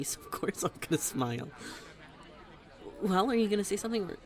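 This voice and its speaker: A man playing a smitten young best friend in a roleplay audio, talking to the listener in a halting, playful, nervous way. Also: fairly high pitch. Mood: giddy, teasing. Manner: halting, giggly, playful, addressing the listener directly. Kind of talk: scripted roleplay audio, intimate conversational acting. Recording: public place with crowd murmur.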